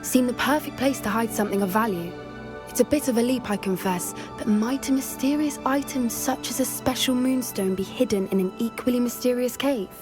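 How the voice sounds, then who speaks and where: A woman's voice: on the high side, brisk, natural voice. A woman voicing an earnest, anxious teenage witch in a video game, polite and a little hesitant. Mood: curious, thoughtful. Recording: music behind the voice. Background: music.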